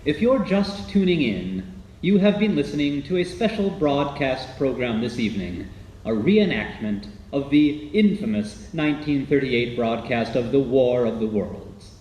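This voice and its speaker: A man playing a formal, smooth-talking announcer hosting an old-time radio show. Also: mid-range voice, big pitch swings, measured pace, darkish tone. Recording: echoey room, distant mic, noise.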